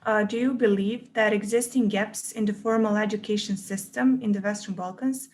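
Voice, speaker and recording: A woman's voice: mid-range voice, fairly even intonation, normal speed. A woman in her twenties from Montenegro, speaking formally as a podcast guest. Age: 20s. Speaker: guest youth ambassador and PhD student. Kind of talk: formal self-introduction and prepared question.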